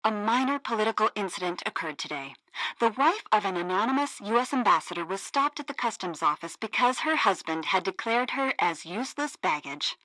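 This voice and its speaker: Bright, animated radio newswoman. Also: medium pitch, fairly quick, dry recording, low-quality recording. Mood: newsy, brisk. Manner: animated, exaggerated newsreader delivery. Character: sassy, peppy female radio news presenter. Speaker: radio news co-host. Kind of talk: satirical radio news broadcast acting.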